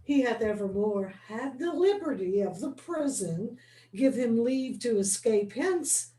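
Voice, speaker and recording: A woman's voice: on the high side, lively intonation, dark tone. A dutiful old-world prison provost, as a woman reads him. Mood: earnest. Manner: slow, measured classical verse delivery. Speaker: acting student. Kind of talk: Shakespeare scene rehearsal. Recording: roomy and distant.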